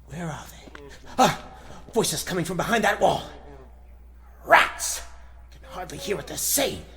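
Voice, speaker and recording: A man's voice: sits high, expressive, measured pace, vocal fry, sharp tone, heavily acted. A man playing an earnest, heroic reporter with a secret superhero life, thinking aloud in declamatory 1940s radio style. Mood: furtive, frustrated. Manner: declamatory, dramatic.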